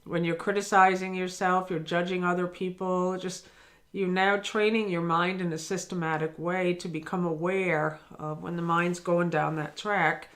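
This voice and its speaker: A woman's voice: lowish voice, flat delivery, moderate pace, a bit bright. Woman, spiritual teacher, earnest and encouraging, talking straight to camera. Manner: earnest and encouraging, speaks to the listener directly. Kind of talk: daily spiritual lesson talk.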